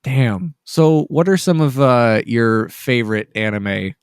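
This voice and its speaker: A man's voice: mid-range voice, lively intonation, takes it slowly, clean tone, no echo, clean studio sound. A man hosting an anime podcast, upbeat, with short affirmations and frequent laughs. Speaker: podcast host. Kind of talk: casual interview chat.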